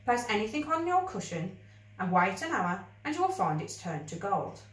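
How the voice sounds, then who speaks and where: A woman's voice: medium pitch, very animated, moderate pace, close to an everyday voice. A woman voicing a tiny, solemn male wish-granting creature in a storybook, speaking formally and declaratively. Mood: solemn, helpful. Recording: echoey room, distant mic.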